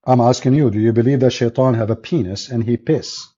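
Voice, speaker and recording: A man's voice: medium pitch, brisk, dark tone, husky, muffled recording. A man hosting a combative call-in debate, speaking blunt, mocking non-native English. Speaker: host of call-in debate show.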